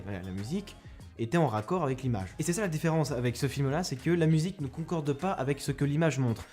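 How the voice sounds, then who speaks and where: A man's voice: medium pitch, a little mellow. A young man giving a casual spoken film review, rambling and self-mocking with many restarts, close to a microphone.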